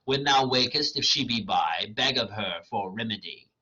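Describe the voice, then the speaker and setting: A man's voice: lowish voice, animated, normal speed, bright, forceful tone, breathy edge, heavily acted. A man reading the part of a commanding fairy king aloud. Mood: scheming. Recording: dull lo-fi recording.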